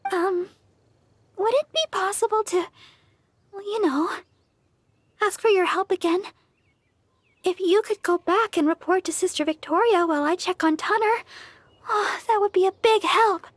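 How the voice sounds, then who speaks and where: A woman playing a gentle, earnest young deaconess in a video game, speaking softly, politely and a little hesitantly. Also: very high voice, moderate pace, long pauses, dry recording. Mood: hesitant, grateful. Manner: soft, sweet, polite, hesitant. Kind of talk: English video game voice acting.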